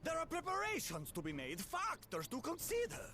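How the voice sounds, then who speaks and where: A man's voice: extremely high pitch, huge pitch swings, normal speed, noisy background, full character voice. An actor voicing a cautious male adventuring companion in a video game, speaking in a warning, lecturing tone. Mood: chiding.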